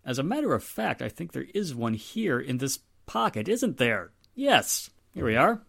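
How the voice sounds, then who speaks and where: Guy doing an old radio police inspector, formal and in charge, lively pitch, close dry sound. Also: moderate pace, vocal fry, slightly rough, dry recording, full character voice. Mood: brisk, assured. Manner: formal, authoritative. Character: firm, courteous male police inspector taking charge. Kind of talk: 1940s radio drama acting.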